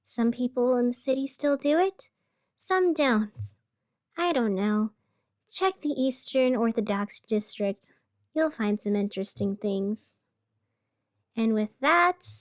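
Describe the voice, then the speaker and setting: A woman's voice: fairly high pitch, lively intonation, slow pace. A woman hosting a scripted fictional community radio show, speaking in a chatty, whimsical and wry way. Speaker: podcast host narrating a fictional city radio show.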